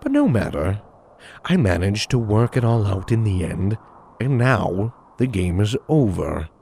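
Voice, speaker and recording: A man's voice: on the low side, sing-song, measured pace, vocal fry, darkish tone, a little hiss or hum, exaggerated voice. A man playing a scheming, smug supervillain mastermind in an audio drama, with a taunting, theatrical delivery. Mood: gloating. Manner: taunting, theatrical, self-satisfied. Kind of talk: audio drama villain acting.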